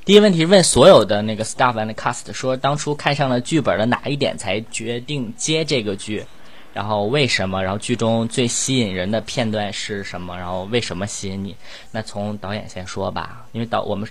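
A man's voice: fairly low pitch, a little fast, creaky. A man casually hosting a live question-and-answer session in a playful, rambling way. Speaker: host and lead voice actor of an audio drama.

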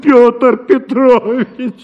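A man's voice: extremely high pitch, flat delivery, highs cut off, heavily acted. A male actor with a Russian accent playing an exasperated, stubborn older father-in-law, blustering and repeating himself in a theatrical radio comedy. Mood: incredulous. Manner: blustering, repetitive, drawn-out exclamations. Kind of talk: theatrical radio drama acting of a classic comic story.

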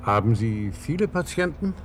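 An actor playing an uneasy, reluctant professor in a German radio play, hesitant and guarded in manner. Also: male voice, mid-range voice, very animated, moderate pace, slight background noise, natural voice. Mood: wary, puzzled. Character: uneasy, reluctant male professor visiting an institution.

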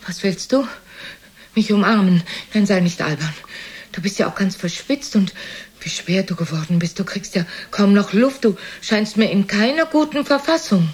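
An actress playing a cool, unsentimental old mother with a husky voice and a dry, teasing, blunt manner in a German radio play. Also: medium pitch, expressive, normal speed. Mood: mocking, blunt.

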